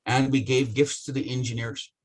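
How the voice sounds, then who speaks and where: Husky-voiced man, blunt and swearing. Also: mid-range voice, little pitch movement, normal speed, poor audio. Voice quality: rough voice. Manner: passionate, blunt, swears often. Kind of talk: casual business discussion.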